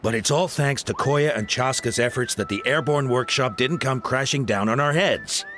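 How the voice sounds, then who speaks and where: A man's voice: mid-range voice, normal speed, lightly acted. A man playing a polite, reasonable, reassuring character in a video game.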